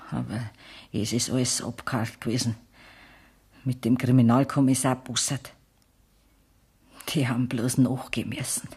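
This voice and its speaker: Ein Schauspieler erzählt als schlichter Berichterstatter in einem Hörspiel, umgangssprachlich und mit bairischer Färbung. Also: male voice, mid-range voice, darkish tone, slightly rough, lightly acted. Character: plain-spoken male storyteller recounting an outlaw's betrayal. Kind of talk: colloquial radio play narration.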